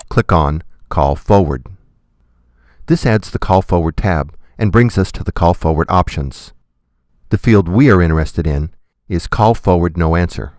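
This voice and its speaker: A man giving scripted, step-by-step software tutorial narration in a methodical, instructional manner. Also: low voice, no echo, studio quality.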